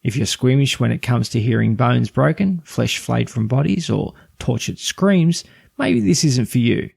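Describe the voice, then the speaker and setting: A man's voice: mid-range voice, lively intonation, unhurried, vocal fry, a little mellow, breathy edge, no echo, clean studio sound. A man hosting a podcast, reading a scripted review in a presenter's style.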